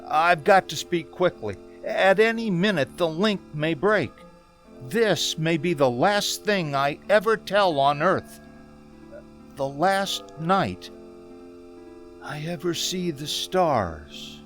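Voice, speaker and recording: A man playing an anxious man in his thirties in an old-time radio drama, speaking haltingly. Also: on the high side, animated, a bit bright, faint music behind. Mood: anxious, urgent. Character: anxious 36-year-old man recounting a haunting road trip.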